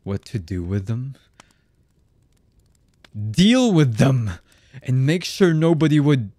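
A heartless young anime villain acted out by a man speaks in a husky, fairly low voice. He is very slow and deliberate, menacing and commanding, in a dramatic ASMR roleplay recorded close and dry. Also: animated, mellow, no room sound. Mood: impatient anger. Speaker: voice actor.

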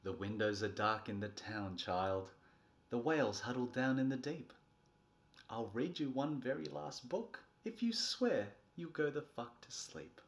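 Theatrical man reading a storybook, very expressive pitch. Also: unhurried. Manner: theatrical, swinging from soft bedtime tone to exasperated outbursts. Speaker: presenter reading a picture book. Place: small furnished nursery room indoors.

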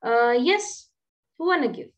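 A woman's voice: sits high, animated, a little slow. A woman teaching programming in an online class, explaining step by step and asking the students questions. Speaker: programming instructor. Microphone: webcam on a video call.

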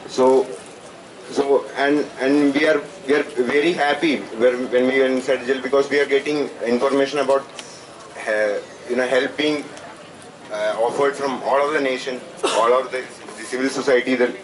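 A young man with an Indian accent speaks off the cuff to an outdoor gathering in a medium-pitched voice. He goes haltingly, circling back over phrases. The sound is picked up from a distance, with clear noise around it. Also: steady tone. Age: 20s. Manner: halting, repeating phrases as he searches for words. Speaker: student speaker at a rally. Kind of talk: impromptu public address. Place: outdoors at night under a canopy. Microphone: handheld microphone, recorded from a distant camera. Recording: noisy outdoor PA, distant camera pickup.

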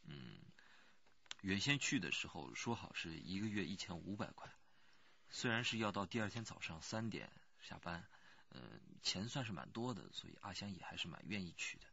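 A man's voice: low voice, sing-song, normal speed, vocal fry, sharp tone, breathy edge, dry recording, muffled recording, heavily acted. An actor playing an anxious rural working man who pleads earnestly and hesitantly in a radio crime drama. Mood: cooperative, explaining.

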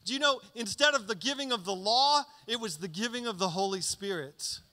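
A man's voice: high-pitched, brisk. A man in his thirties preaching a conversational sermon into a handheld mic on a church stage, often checking in with "okay?". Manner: conversational, animated teaching with frequent "okay?" check-ins. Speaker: pastor. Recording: reverberant church hall.

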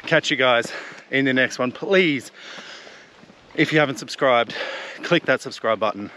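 A man's voice: medium pitch, unhurried, pushed and bright, slight background noise. A man in his thirties chatting upbeat to a camera held at arm's length on an outdoor mountain trail, laughing at himself. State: throat a bit rough. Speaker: hiking vlogger. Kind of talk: casual vlog to camera. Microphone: action camera at arm's length. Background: open air.

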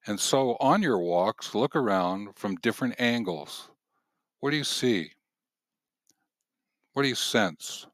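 A man with a fairly low voice hosts a gentle guided narration. He speaks very slowly and deliberately, leaving pauses between short phrases. The sound is dry and close, like a voice-over. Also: dry recording. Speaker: host of a nature channel.

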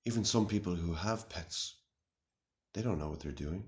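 A man in his thirties playing an anxious, earnest, melodramatic self-styled warrior in a halting, mock-serious monologue to camera. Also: deep voice, darkish tone, close to an everyday voice. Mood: grave, bewildered. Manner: halting, grave, deliberate delivery with long pauses. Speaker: comic video responder. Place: small room indoors.

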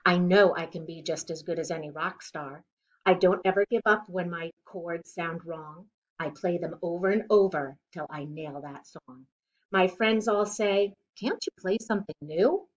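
A middle-aged woman with a slightly low voice reads a rhyming picture book aloud to children. Her delivery is deliberate and expressive, like a teacher at story time. The sound is a little dull and lo-fi, recorded in a living room. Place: living room at home, indoors.

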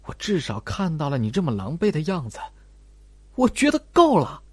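Audiobook role: mocking, manic young schoolgirl performed by a man, erratic and very animated. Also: on the high side, normal speed, breathy edge, dry recording, exaggerated voice. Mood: manic, defiant. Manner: sneering, taunting, erratic. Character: spiteful young female student, manic and mocking.

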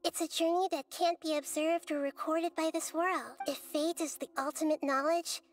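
A woman voicing a gentle, wise, childlike goddess in a video game, measured and thoughtful. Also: sits high, fairly even intonation, close to an everyday voice. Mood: reflective.

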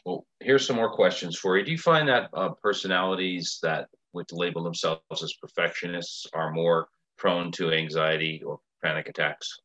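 An older man hosts over a video call and asks his questions hesitantly, with many fillers. His voice sits very low but has a bright, forceful edge. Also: moderate pace, few pauses, poor audio. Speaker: interviewer. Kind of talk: online interview questions. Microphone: webcam on video call.

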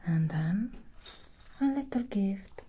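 A woman voicing an alluring, scheming female demon hairstylist in an ASMR roleplay, speaking in a slow seductive whisper. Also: medium pitch, animated, mellow, slight background noise. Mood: sly whisper.

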